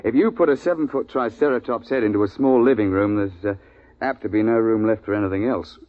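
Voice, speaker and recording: A man's voice: fairly low pitch, brisk, dry recording, lightly acted. An actor playing a seasoned, firm safari guide telling his tale in a 1950s radio drama, understated and matter-of-fact. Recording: muffled 1950s radio recording, music.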